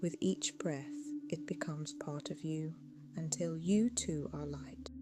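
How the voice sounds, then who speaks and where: A woman narrating a guided meditation in a soothing, measured, hypnotic way, with phrases trailing off into a hush. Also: low-pitched. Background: music.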